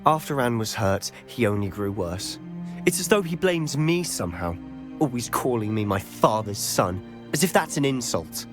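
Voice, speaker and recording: A man's voice: medium pitch, sing-song, normal speed, over music, natural voice. An actor playing a determined young wizard who speaks earnestly about saving his sister. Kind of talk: video game cutscene acting.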